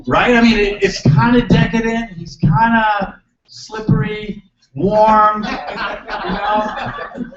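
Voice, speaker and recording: A man's voice: high voice, a bit bright. A man hosting a live event, giving a jokey, hyped stage introduction to the crowd. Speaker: event host introducing a speaker. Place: event hall. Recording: echoey room, distant mic, dull sound.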